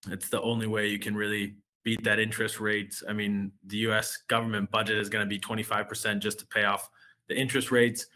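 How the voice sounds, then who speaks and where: A man's voice: fairly low pitch, level tone, brisk, pushed and bright. An American man, a relationship manager, presenting a finance webinar in a loose way, with many fillers and restarts. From United States.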